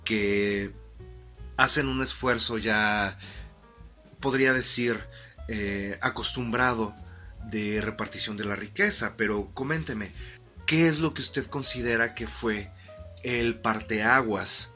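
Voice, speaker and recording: A man playing a formal history-programme host in a radio drama, interviewing with hesitant pauses. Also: fairly low pitch, sharp tone, soft background music. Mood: earnest, inquiring. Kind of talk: scripted radio interview in a fiction podcast.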